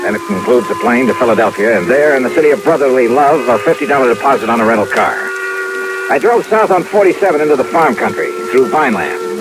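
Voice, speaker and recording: An actor playing a wry, shrewd insurance investigator in a vintage American radio drama, speaking in a conversational hardboiled style. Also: male voice, medium pitch, close to an everyday voice. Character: wry, shrewd male insurance investigator narrating his case. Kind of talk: 1950s radio acting, hardboiled narration and dialogue. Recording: noisy, hissy vintage radio recording.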